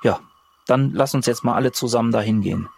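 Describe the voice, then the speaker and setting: A man's voice: mid-range voice, quick pace, creaky, a little mellow, slightly rough. A man playing a role-playing game, talking casually and improvising in character.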